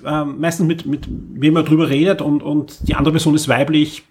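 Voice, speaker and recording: A man's voice: a little high, level tone, moderate pace, a little mellow, a little hiss or hum. A man hosting a podcast in casual chat, speaking emphatically and often repeating words for stress. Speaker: podcast host.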